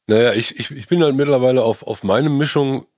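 A man's voice: medium pitch, fairly quick, darkish tone, no room sound, clean studio sound. A middle-aged man chatting casually as a podcast co-host and audio critic. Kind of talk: casual chat.

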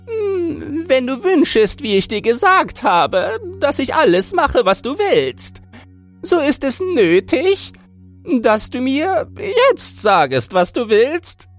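Very high magical talking fish. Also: male voice, big pitch swings, soft background music, exaggerated voice. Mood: coaxing. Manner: measured, solemn, formal storybook phrasing. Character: magical talking pike, high-pitched, insistent, solemn promiser. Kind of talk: fairy-tale audiobook character voice.

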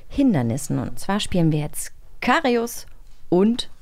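A woman's voice: on the low side, big pitch swings, a little slow, vocal fry, background noise. A woman co-hosting a podcast in casual conversation. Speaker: podcast co-host. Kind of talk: casual chat.

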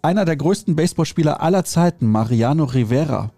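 A man's voice: on the high side, animated, quick pace, dark tone, dry recording. A man hosting a podcast in a studio, chatting and teasing his guest in a joking way. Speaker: podcast host. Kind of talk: casual chat.

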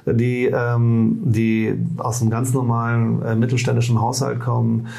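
A middle-aged man from the Rhineland speaking as an interview guest in a casual, halting way, often correcting himself. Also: medium pitch, completely flat delivery, gravelly, mellow, poor audio. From Rhineland, Germany.

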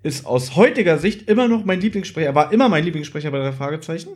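Male podcast co-host, slightly high voice, casual and playfully argumentative. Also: expressive, fast talker. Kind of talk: casual chat, audio drama review.

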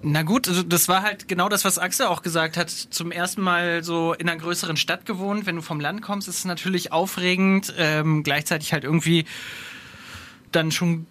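A man's voice: high voice, steady tone, normal speed, pushed and bright. A young man co-hosting a podcast, chatting casually and searching for his words. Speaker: podcast co-host.

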